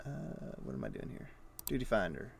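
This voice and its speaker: A man's voice: low-pitched, wildly swooping pitch, a little fast, vocal fry. A man livestreaming a game, chatting casually and muttering his thoughts aloud in short fragments. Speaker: game streamer. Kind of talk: casual livestream chat, thinking aloud while gaming. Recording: faint keyboard typing and clicking noise. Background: computer keyboard typing and mouse clicks.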